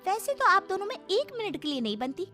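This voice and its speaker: A woman's voice: extremely high pitch, sing-song, brisk, bright, forceful tone, soft background music, full character voice. A woman playing a defiant, quarrelsome young daughter-in-law in a cartoon family story, argumentative and indignant.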